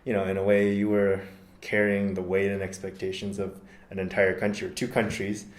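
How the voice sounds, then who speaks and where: A man from the United States talking in a relaxed podcast conversation, thoughtful and measured, often pausing with ums and correcting himself. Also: low-pitched. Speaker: podcast guest. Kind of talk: casual conversation. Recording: roomy echo.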